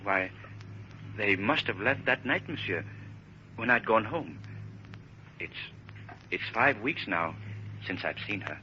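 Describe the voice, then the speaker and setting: An actor playing an earnest, anxious twenty-year-old student in a 1930s radio drama, halting and polite. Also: male voice, fairly low pitch, lively intonation, bright, forceful tone, full character voice. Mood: uneasy. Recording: muffled, hissy old radio broadcast.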